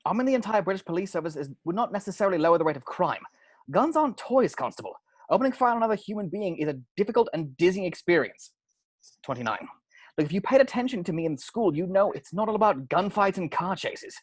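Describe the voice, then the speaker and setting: A man reading the part of a stern, deadpan police sergeant who goes strictly by the rules. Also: high-pitched, quick pace, no echo. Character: stern, rule-bound male police sergeant.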